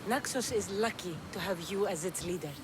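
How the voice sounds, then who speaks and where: A woman's voice: mid-range voice, fairly quick, over music, close to an everyday voice. A woman playing a fierce, earnest young Greek mercenary and protective daughter in a dramatic video game. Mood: warm, resolute.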